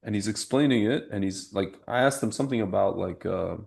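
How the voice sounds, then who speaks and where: A man's voice: fairly low pitch, fairly quick, vocal fry, a little mellow. A young man hosting a podcast, talking in a loose, rambling way with many restarts. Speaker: podcast host. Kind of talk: casual chat.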